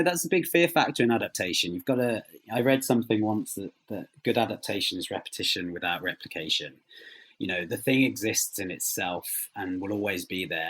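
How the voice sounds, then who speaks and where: Low-voiced man with a slightly husky edge. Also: a bit bright, poor audio. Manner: modest, self-effacing. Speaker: interview guest, audio drama writer. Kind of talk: conversational interview answers.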